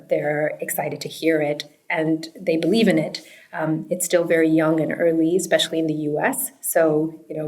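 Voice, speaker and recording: A woman, answering interview questions in an earnest, reflective, conversational way. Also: low voice. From Pakistan and the United States. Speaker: interview guest, startup founder.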